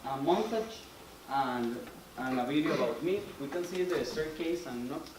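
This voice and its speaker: A man's voice: on the high side, lively intonation, normal speed. A young man presenting his student project off the cuff, hesitant and searching for words. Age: young adult. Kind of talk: unscripted poster presentation. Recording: echoey, noisy room, distant mic.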